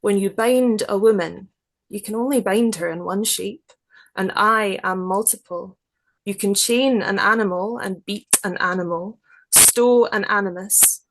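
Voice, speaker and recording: A woman speaks as a poet presenting her work, at a distinctly slow pace and a medium pitch. Her phrasing is deliberate and literary. The recording carries clear noise. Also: rough recording. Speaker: poet reading own work. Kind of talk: measured poetry reading and reflective explanation.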